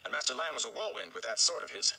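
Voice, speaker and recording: A flustered, stout old mayor played by a male reader, emphatic and excitable. His voice swoops about with a forceful, bright tone and some gravel, in storybook style. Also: mid-range voice, fast talker, slight background noise, voice pushed up, full character voice. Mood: excited.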